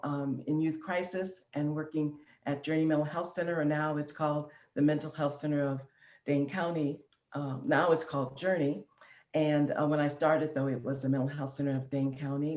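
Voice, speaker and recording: A woman in her fifties from Illinois, a guest in an online interview, talking in a chatty way with easy laughs and ums. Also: sits low. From Illinois, USA. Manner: warm chatty, laughing easily, filler ums. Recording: roomy, dull lo-fi sound.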